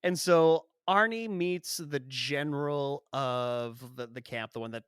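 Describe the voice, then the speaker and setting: A man co-hosting a podcast, chatting casually about a film, animated and swearing, often acting out lines from the movie. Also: on the high side, takes it slowly, clear, resonant tone, no echo, studio quality. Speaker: podcast co-host. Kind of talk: casual film review chat.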